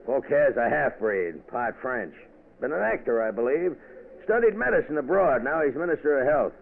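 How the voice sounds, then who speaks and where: A gravelly brash go-getter. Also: male voice, medium pitch, animated, normal speed, slight background noise. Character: brash blustering male American insurance man. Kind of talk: 1940s radio acting. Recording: tinny old radio broadcast.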